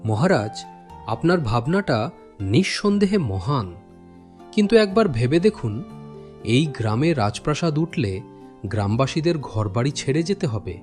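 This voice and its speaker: A man playing a loyal, thoughtful royal minister in a cartoon fairy tale, speaking respectfully in measured, persuasive phrases. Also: mid-range voice, sing-song, a little mellow, soft background music, close to an everyday voice. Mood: gently cautioning.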